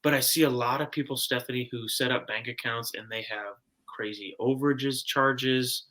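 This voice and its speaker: A man's voice: medium pitch, steady tone, normal speed, pushed and bright. A man from the United States, an interview guest, explaining and advising in a casual, plainspoken way. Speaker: guest, personal finance author.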